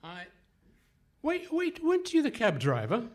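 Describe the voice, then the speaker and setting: A man's voice: very high voice, huge pitch swings, measured pace, very noisy, full character voice. A man playing a pompous, greedy, scheming villain in a theatrical radio comedy, exclaiming and hurling florid insults. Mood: haughty, puzzled. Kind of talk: Goon Show style radio comedy acting. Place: live performance on stage in a hall.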